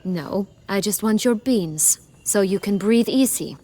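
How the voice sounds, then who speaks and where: A woman's voice: mid-range voice, a little slow, dark tone, close to an everyday voice. A woman playing a cool, sardonic young noblewoman in a video game, sly, mocking and commanding. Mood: menacing, mocking. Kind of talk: video game character acting.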